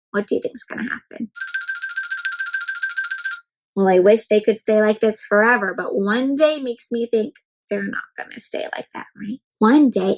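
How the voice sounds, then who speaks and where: A woman with a high-pitched voice leads an interactive picture-book reading for young children. She speaks as a storyteller, in an animated manner, turning to her little listeners with questions and leaving pauses for them to think. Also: low-quality recording. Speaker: children's read-aloud storyteller.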